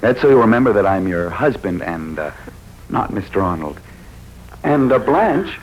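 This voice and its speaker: An actor playing a smooth-talking, scheming husband in a 1940s radio drama, deliberate and theatrical, charming on the surface and cold underneath. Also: male voice, fairly low pitch, sing-song, darkish tone. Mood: coaxing. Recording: dull, hissy 1940s radio recording.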